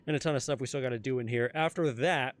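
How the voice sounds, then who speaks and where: A young man streaming a game, chatting casually with his viewers, his voice a little hoarse. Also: mid-range voice, fast. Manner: chatty, answers chat, repeats phrases. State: slightly hoarse. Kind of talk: casual live-stream chat while gaming.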